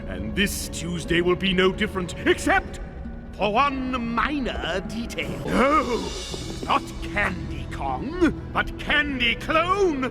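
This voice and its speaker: Cartoon villain: vain, hot-headed lizard monarch, theatrical, slow grandiose delivery, loud music behind. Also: male voice, high voice, huge pitch swings, heavily acted. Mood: scheming, smug. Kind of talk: exaggerated cartoon voice acting.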